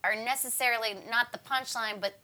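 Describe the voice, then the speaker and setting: A woman's voice: a little high, fairly even intonation, a little fast, bright, forceful tone. A woman stand-up comedian in casual conversation, explaining her craft. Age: 30s or older.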